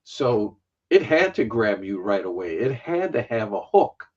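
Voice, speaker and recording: A man's voice: on the low side, sing-song, a little mellow, highs cut off. A man in his sixties, a guest on a livestream, telling stories at an easy pace with lots of "you know". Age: 60s. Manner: storytelling, unhurried, with many "you know" asides. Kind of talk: casual chat.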